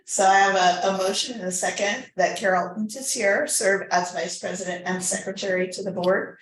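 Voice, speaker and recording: A woman's voice: medium pitch, fairly even intonation, moderate pace, a little hiss or hum. A woman chairing a formal board meeting, moving through procedure with conversational, informal asides. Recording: echoey room recording.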